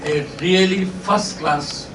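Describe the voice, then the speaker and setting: A man's voice: high-pitched, slow pace. A man making remarks as a participant in a public discussion. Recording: echoey room, distant mic, clear noise.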